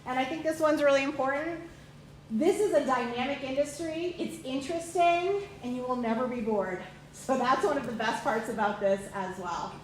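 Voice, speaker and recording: A woman's voice: high voice, normal speed, slight background noise. A woman giving an enthusiastic recruiting presentation about a university program, stressing and repeating key points. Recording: echoey room, far from mic.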